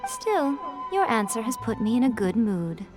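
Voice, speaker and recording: Sly, teasing shrine priestess. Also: female voice, fairly high pitch, big pitch swings. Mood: teasing, amused. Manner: coy, eloquent, unhurried teasing. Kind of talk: video game voice acting. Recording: music playing behind.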